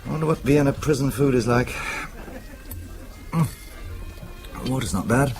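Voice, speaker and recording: An actor playing a wry, evasive British husband in a radio drama, dry and sardonic with a British accent. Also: male voice, mid-range voice, moderate pace, darkish tone, soft background music, natural voice. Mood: wry, exasperated.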